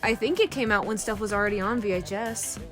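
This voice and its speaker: A woman's voice: mid-range voice, fast, over music, lightly acted. A woman playing a sardonic, crude, teasing rogue of a space crew in an audio drama, with a sarcastic, needling delivery. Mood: wry, flippant.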